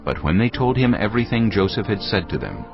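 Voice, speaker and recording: A man's voice: on the low side, sing-song, fairly quick. A man narrating scripture as a dramatic scripted reading. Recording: dull audio with clear music bed.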